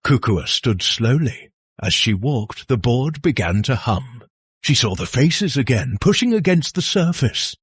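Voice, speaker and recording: Husky male storyteller, slow and dramatic. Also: mid-range voice, very animated, no echo, studio quality. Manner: suspenseful, theatrical delivery with dramatic pauses. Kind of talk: dramatic scripted horror folktale narration.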